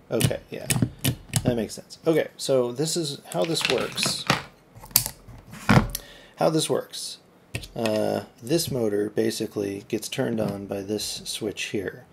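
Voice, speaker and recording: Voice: mid-range voice, vocal fry, a bit bright. A host giving casual, off-the-cuff repair commentary, rambling and muttering while thinking aloud on a noisy recording. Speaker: video host repairing electronics.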